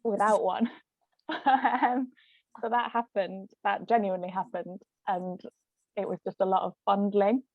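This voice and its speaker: A woman's voice: mid-range voice, fairly even intonation, a little slow, dry recording. Chatty, giggly woman, dull close sound. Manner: giggly, chatty, peppers talk with "like". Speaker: author and stage actress, interview guest. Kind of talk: casual, animated anecdote telling.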